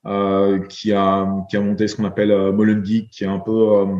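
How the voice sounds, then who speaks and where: Halting Belgian-raised man in a roomy room. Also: fairly low pitch, completely flat delivery, darkish tone, highs cut off. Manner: halting, many fillers and repeated words. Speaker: guest, investor. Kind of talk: podcast interview.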